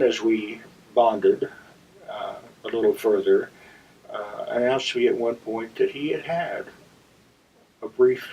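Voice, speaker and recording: A man hosting a story segment, telling a personal anecdote to viewers in a deliberate, theatrical raconteur's manner with dramatic pauses. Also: on the low side, a little mellow, slightly rough. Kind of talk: anecdotal monologue addressed to viewers. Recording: slightly distant, dull lo-fi sound.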